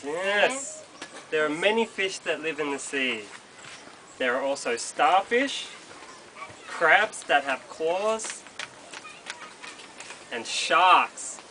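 A man's voice: a little high, animated, slow pace, pauses often. A young man introducing a children's show in simple short sentences and questions. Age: young adult. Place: open-sided thatched hall, on a small stage. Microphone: camera some metres away. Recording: noisy open hall, camera at a distance.

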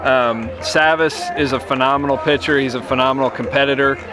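Brief: thirties man, baseball coach, measured postgame answers, music behind him. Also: mid-range voice, fairly even intonation, a crowd in the background. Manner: measured, many you-knows and restarts. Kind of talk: postgame interview. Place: outdoors on a ballfield at night.

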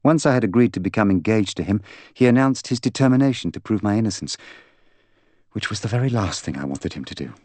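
A man's voice: lowish voice, lively intonation, fast talker, darkish tone, dry recording, voice pushed up. A man voicing a proud, bitter young woman in an audiobook, candid, articulate and intense. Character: proud, bitter young woman confessing to killing her fiancé. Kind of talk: audiobook character dialogue.

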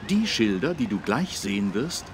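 A man's voice: lowish voice, very animated, normal speed, noisy background. A man playing a kindly policeman who patiently and emphatically explains things to children in an educational game. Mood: patient, instructive. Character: kindly, careful policeman teaching children road safety.

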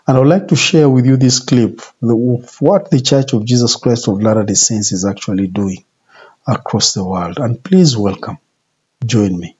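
A man in his forties giving an informal sermon in a deliberate, repetitive, emphatic way. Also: medium pitch, a little mellow, slightly rough. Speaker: religious video presenter. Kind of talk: informal sermon, retelling scripture. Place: small indoor office. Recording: muffled, dull home video audio.

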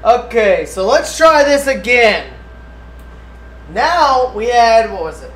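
A man's voice: very high-pitched, sing-song, takes it slowly, fairly bright tone. A man hosting a casual cooking livestream, talking through the steps with profane jokes. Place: indoors in a home kitchen. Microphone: distant room mic. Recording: echoey room, distant mic, faint noise.

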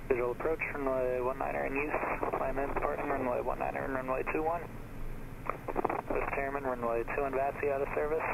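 Flat gravelly airport weather broadcast. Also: male voice, medium pitch. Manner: flat, slow reading. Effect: aviation radio. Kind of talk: recorded weather information. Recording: noisy crackly radio.

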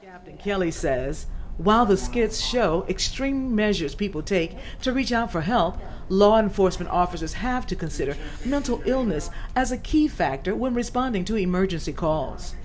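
A woman's voice: medium pitch, expressive, normal speed. A woman reporter reading a scripted local news narration.

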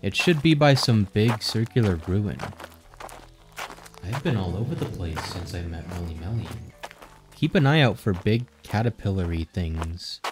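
A man's voice: fairly low pitch, animated, a little slow, over music. A man voicing a young wandering warrior in a comic dub, easily startled, sarcastic and exasperated in a casual way. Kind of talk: animated comic dub acting.

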